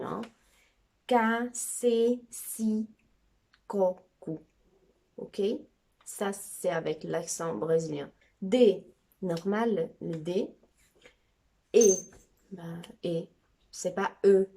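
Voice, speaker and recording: A young Brazilian woman in her twenties gives a relaxed, chatty language lesson, with a Brazilian Portuguese accent. She talks at an unhurried pace in a lowish voice and repeats sounds slowly to demonstrate them. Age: 20s. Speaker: amateur language teacher. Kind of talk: casual video lesson.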